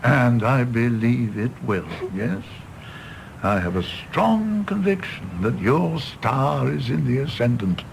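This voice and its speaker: Florid, creaky-voiced old doctor. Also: male voice, mid-range voice, exaggerated voice. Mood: earnest, wistful. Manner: florid, expressive, drawn-out phrasing. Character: world-weary, self-deprecating older doctor, kindly and theatrical. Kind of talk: British stage-play radio drama acting. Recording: clear background noise.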